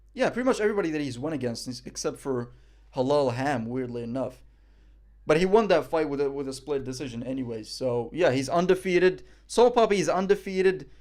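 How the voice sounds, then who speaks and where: A young man from Morocco talks through a live stream in a rambling, joking way. His voice sits a little high for a man, and he swears freely between fillers. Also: lively intonation, normal speed, a little mellow. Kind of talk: casual live-stream commentary.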